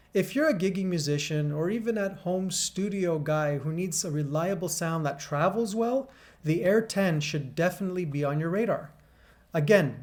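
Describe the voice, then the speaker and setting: Upbeat man with a bassy voice. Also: a little mellow. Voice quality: deep bassy voice. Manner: upbeat, chatty, explains gear step by step. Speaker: gear reviewer and channel host. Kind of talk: casual product review.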